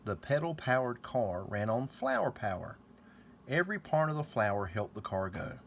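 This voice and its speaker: Male children's storybook reader, mid-pitched with animated intonation, slight background noise. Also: normal speed, gravelly. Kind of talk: children's story read-aloud.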